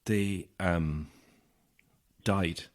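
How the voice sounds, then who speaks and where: A man's voice: deep voice, steady tone, extremely slow. An actor playing an anxious, isolated young Irish man, edgy and sardonic, in a naturalistic audio horror drama. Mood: uneasy, subdued.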